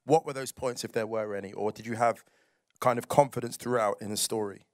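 A man's voice: fairly low pitch, steady tone, fairly quick, no room sound, studio quality. A young man from the United Kingdom moderating an outdoor festival panel, asking curious, probing questions into a handheld mic. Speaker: writer and moderator. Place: outdoor festival stage among trees. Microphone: handheld microphone.

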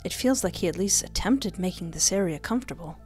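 A playful, expressive woman doing a let's play, reading game text aloud and commenting, close on a desk microphone. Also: lowish voice, fairly quick. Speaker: gaming streamer. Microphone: close desk microphone with headset. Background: game music.

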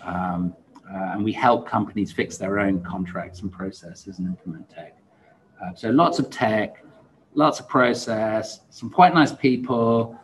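A man's voice: lowish voice, a little slow, slight background noise, rough recording. A man in his forties speaking casually as a podcast host in conversation. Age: 40s. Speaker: podcast host and law firm founder. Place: indoor room, seated.

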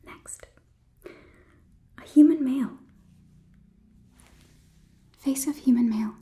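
A young woman performs a whispered ASMR roleplay as a condescending robot overlord. Her soft, breathy voice sits fairly high and mellow, and she moves slowly through formal, deliberate sentences, close to the microphone. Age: 20s. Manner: formal, measured, deliberate phrasing. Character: polite, condescending robot overlord examining a human. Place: small indoor studio set. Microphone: close microphone, off camera.

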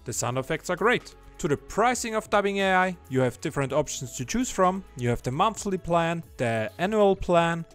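A young man narrating a software tutorial as its presenter. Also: fairly high pitch. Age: young adult. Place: indoor home room. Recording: background music under voice.

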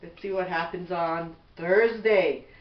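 A middle-aged woman reads a bedtime storybook at an unhurried pace. She is some distance from the camera mic in an echoey room. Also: on the low side, steady tone, highs cut off. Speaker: mother reading a bedtime story. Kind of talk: slow storybook read-aloud. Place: small bedroom at home, indoors. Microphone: camera a few feet away.

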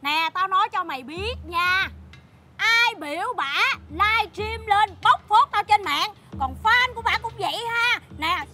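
A woman's voice: very high-pitched, animated, a little slow. An actress playing a brash, rude woman who sells fake beauty cream and taunts others coarsely. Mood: taunting. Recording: loud music bed behind the voice.

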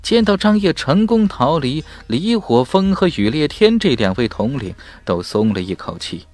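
A man's voice: a little high, very animated, moderate pace, no room sound. A man reading dramatic scripted fantasy narration as an audiobook narrator. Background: faint music.

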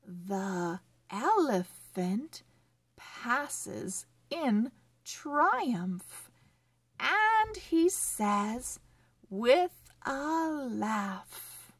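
A woman hosting a children's read-along phonics lesson, speaking in a sing-song, encouraging way with deliberate, exaggerated enunciation. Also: fairly high pitch, big pitch swings, slightly rough, no echo.

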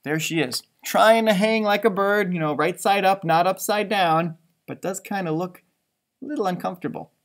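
A man in his thirties reading a picture book aloud to children like a teacher, expressive and dramatic, adding chatty asides. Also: high-pitched, normal speed. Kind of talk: animated storybook read-aloud with asides. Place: indoor library room with bookshelves.

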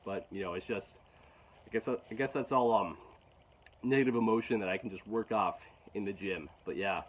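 Teen guy, very low voice, halting frustrated rambling, phone at arm's length, faint outdoor ambience. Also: slight background noise. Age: teens. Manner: frustrated, halting, many restarts and fillers. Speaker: vlogger. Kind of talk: casual rambling vlog talk. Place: outdoors in a garden. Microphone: phone camera at arm's length.

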